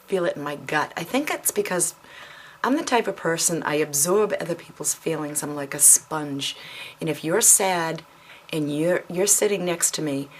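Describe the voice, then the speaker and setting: Middle-aged woman vlogger, low voice, rambling home-video chat with a faint hiss behind. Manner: chatty, frequent ums and self-corrections. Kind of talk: casual chat to camera.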